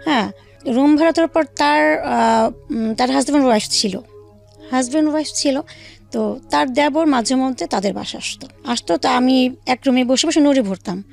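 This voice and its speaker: High-pitched Bangladeshi woman, slightly creaky voice. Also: normal speed. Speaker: guest telling her own life story. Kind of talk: personal storytelling in a radio interview. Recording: faint music bed under the voice. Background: soft background music.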